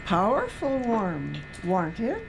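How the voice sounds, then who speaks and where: Woman as a folksy, probing old aunt, unhurried. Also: on the high side, huge pitch swings, vocal fry, music in the background, voice pushed up, heavily acted. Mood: probing, sly. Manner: folksy rural dialect, coaxing questions. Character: shrewd, fond older aunt, folksy and probing.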